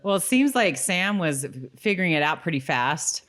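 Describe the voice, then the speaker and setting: A woman's voice: lowish voice, animated, moderate pace, a bit bright. A woman talking as a podcast co-host about television. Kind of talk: casual conversational TV episode discussion.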